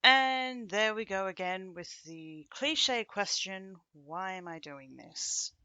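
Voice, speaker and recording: A woman's voice: mid-range voice, expressive, sharp tone, no echo. A woman playing a bitter, taunting young ex-girlfriend in an ASMR roleplay, speaking to the listener in a teasing, sardonic way. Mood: taunting, cold. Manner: teasing, sardonic, with dry chuckles and pointed pauses.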